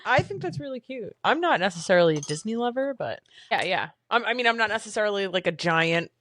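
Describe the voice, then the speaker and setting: A young adult woman with a deep voice, a podcast guest talking candidly and chatting easily. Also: sing-song, fast, fairly bright tone, a little hiss or hum. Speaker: podcast guest, boudoir photographer. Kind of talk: casual chat.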